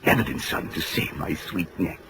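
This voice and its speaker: A man's voice: extremely low pitch, moderate pace. An actor playing a florid, unhinged male author in melodramatic 1940s radio style, on a noisy old broadcast. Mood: grandiose. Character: theatrical, unhinged male author, a guilty culprit.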